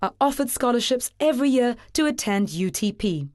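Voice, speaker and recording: A woman giving scripted documentary narration in a measured, formal way. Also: fairly high pitch, expressive, darkish tone, no room sound, studio quality.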